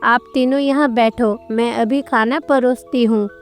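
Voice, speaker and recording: Woman acting gentle goddess homemaker, high clear voice, devoted, storybook dialogue, faint music. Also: fairly even intonation, measured pace. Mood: delighted, caring.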